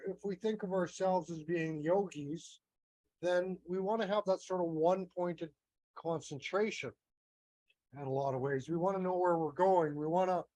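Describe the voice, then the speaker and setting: A man's voice: a little high, a little mellow, no echo, rough recording. A man with a Canadian accent giving a casual, meandering talk, thinking aloud with many fillers and restarts. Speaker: astrology teacher.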